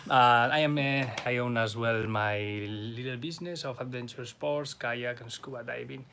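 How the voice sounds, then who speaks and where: A man works through halting, self-conscious English as he introduces himself. His voice sits at a middle pitch, with faint ambient noise in the background. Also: vocal fry, a bit bright. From Basque Country. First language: Spanish or Basque. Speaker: crew member, adventure sports business owner.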